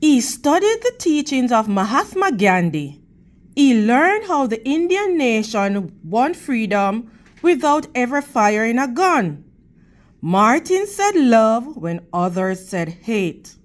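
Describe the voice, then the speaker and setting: Slow, high-pitched woman reading to children. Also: big pitch swings. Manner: teacherly, addressing young children. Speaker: read-aloud storytime host for children. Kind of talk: children's picture book read-aloud with questions to listeners.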